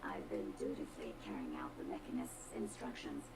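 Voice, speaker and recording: An actress voicing a condescending female robot in a video game, with precise, formal, disdainful diction and a robotic filter. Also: fairly quick. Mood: cool disdain. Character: haughty, condescending female robot with cold contempt for humans. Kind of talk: video game character dialogue.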